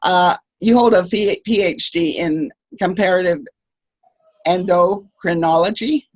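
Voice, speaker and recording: A woman's voice: fairly low pitch, unhurried, no echo. A woman hosting an author interview, asking questions with short friendly reactions. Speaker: interview host.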